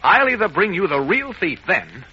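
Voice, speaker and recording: Determined radio-drama reporter, highish husky voice, animated, pleading, dull vintage recording. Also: male voice, moderate pace, full character voice. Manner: urgent, insistent, pleading. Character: earnest, determined male newspaper reporter standing his ground. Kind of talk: 1940s radio acting.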